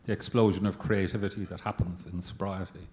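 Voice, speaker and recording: A man's voice: sits low, flat delivery, fairly quick, darkish tone, slight background noise. An older man in his sixties asking a reflective, hesitant question from the audience. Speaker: audience member. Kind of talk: question from the floor.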